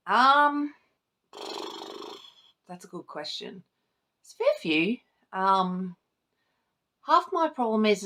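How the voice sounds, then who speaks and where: A woman with a slightly low, creaky voice talks in the loose style of a book vlog. She rambles informally, breaking into her own sentences and tossing in the odd mild swear word. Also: fairly bright tone. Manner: informal, rambling, self-interrupting, lightly sweary. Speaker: book vlogger. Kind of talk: casual chat to camera about books.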